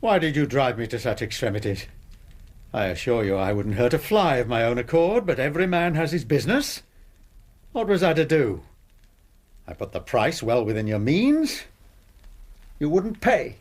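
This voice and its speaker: An older actor playing an oily, mock-courteous blackmailer in a radio drama. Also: male voice, medium pitch, sing-song, moderate pace, pauses often. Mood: oily, self-justifying. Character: oily male blackmailer, mock-regretful and unrepentant.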